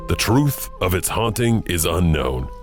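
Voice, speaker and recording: Deep-voiced male horror narrator. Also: sing-song, a little slow, over music.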